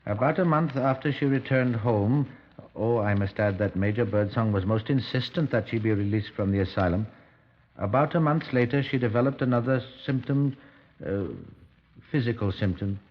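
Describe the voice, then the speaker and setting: A man's voice: fairly low pitch, moderate pace, close to an everyday voice. Guy playing a stuffy country doctor, formal and hesitant, old dull radio drama sound. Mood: confiding. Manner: formal, hesitant, portentous phrasing. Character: pompous, deliberate Welsh country doctor fond of beer. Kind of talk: 1950s radio drama acting.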